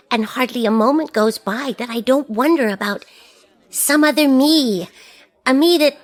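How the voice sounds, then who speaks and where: A woman playing a wistful, regretful television presenter, confiding and wry, in a comic science fiction radio drama. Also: fairly high pitch.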